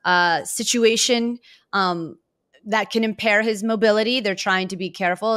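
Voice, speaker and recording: A woman with an American accent hosting a sports podcast, asking questions conversationally in a halting way and often rephrasing them. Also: lowish voice, a bit bright. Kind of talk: conversational interviewing.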